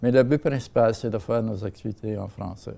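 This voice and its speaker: An older man with a Franco-Ontarian French accent talks through an interview with many hesitations and fillers. His voice is strongly gravelly, pitched somewhat low and dark in tone. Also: brisk. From Ottawa, Canada. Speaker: guest, seniors' association president. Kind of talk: casual interview talk.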